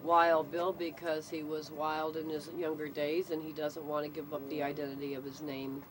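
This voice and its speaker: A woman's voice: low-pitched, flat delivery, moderate pace. A woman explaining things in a casual, conversational way, with firm opinions of her own. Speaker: interviewee explaining local nicknames. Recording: noisy ambient recording with vehicle sounds.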